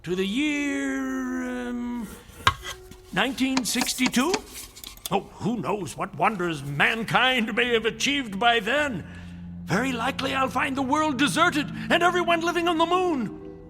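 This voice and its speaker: An actor playing a proud, excitable inventor professor with grandiose, deliberate declamation in an old-time radio comedy drama. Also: male voice, extremely high pitch. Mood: excited, grandiose. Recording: reverberant radio drama with music behind.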